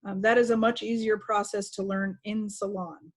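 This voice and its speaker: A woman's voice: mid-range voice, level tone, normal speed. A woman trainer giving an informal, instructive walkthrough to staff over a video call. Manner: instructive, conversational. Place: video call with screen sharing.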